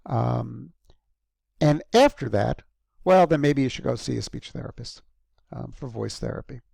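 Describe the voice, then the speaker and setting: Gravelly-voiced male lecturer, dry close sound. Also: medium pitch, a little slow, a little mellow, no room sound. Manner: conversational, frequent ums and restarts. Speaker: university lecturer. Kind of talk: recorded course lecture.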